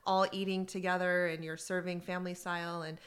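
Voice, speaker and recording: A woman with a slightly low voice, co-hosting a podcast in casual conversation. She tells her stories in an animated way, breaking into laughter often. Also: fairly quick. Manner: animated storytelling with frequent laughs. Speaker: podcast co-host. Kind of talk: casual conversation about workplace groups.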